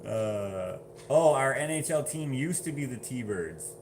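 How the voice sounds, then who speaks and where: A man's voice: mid-range voice, animated, slow pace, a little mellow, a little hiss or hum. A man casually chatting on a livestream, reading viewer comments aloud and laughing often. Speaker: livestream host.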